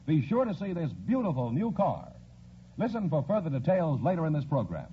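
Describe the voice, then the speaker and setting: A man's voice: a little high, big pitch swings, brisk, slight background noise. A man announcing a 1950s radio show in a formal, projected, theatrical style. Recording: muffled vintage radio broadcast recording.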